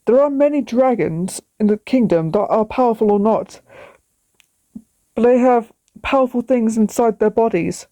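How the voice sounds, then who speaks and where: A woman voices a small, loyal storybook sidekick to a dragon prince. The little creature is excitable and encouraging, pitched high in a dry recording. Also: moderate pace, no echo, natural voice. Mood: urging.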